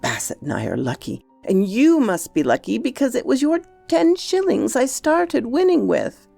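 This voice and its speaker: A woman's voice: fairly high pitch, big pitch swings, moderate pace, gravelly, warm tone, no room sound, soft background music, pitched above the performer's own voice, full character voice. A woman voicing a grave, polite young boy who speaks earnestly and insistently in a storybook reading. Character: earnest, secretive young boy, gravely confident about betting.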